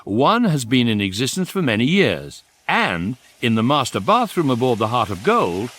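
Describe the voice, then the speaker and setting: Wry guidebook narrator, animated. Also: male voice, mid-range voice, a little hiss or hum. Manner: deadpan, articulate, measured phrasing. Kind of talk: scripted comic narration for radio.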